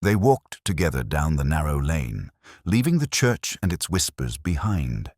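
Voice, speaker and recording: A man narrating a scripted mystery story in a measured, literary manner. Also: rumbling low, big pitch swings, darkish tone, no echo, clean studio sound. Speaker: audiobook narrator.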